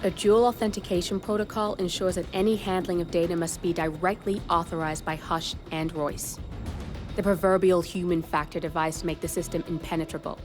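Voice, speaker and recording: A woman's voice: lowish voice, fairly even intonation, a little fast, darkish tone, lightly acted. A woman playing a blunt, sardonic, streetwise hacker in a cinematic video game. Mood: briefing, dry. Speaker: hacker ally. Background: music.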